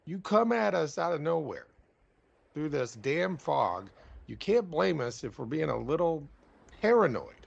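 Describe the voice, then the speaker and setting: An actor playing a gruff, sardonic man on a yacht in a radio drama, blunt and slangy. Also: a little high, sing-song, a little slow, lo-fi sound, exaggerated voice. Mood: tense. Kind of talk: audio drama acting.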